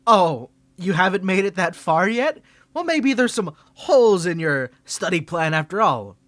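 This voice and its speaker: A man's voice: high voice, sing-song, unhurried, fairly bright tone, dry recording. A young man voicing a smug, sarcastic tsundere college rival in a scripted ASMR roleplay, teasing and condescending.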